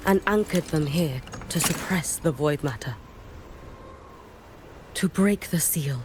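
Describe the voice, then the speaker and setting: A woman voicing a stoic, solemn female warrior in a video game, speaking formally and softly, with a breathy edge. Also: low voice, background noise. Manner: formal, measured, grave. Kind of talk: scripted video game voice acting.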